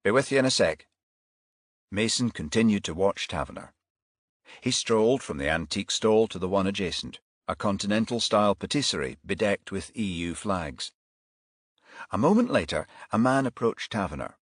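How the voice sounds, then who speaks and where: A man's voice: fairly low pitch, lively intonation, moderate pace, no echo, studio quality. A man reading a crime thriller audiobook as scripted narration.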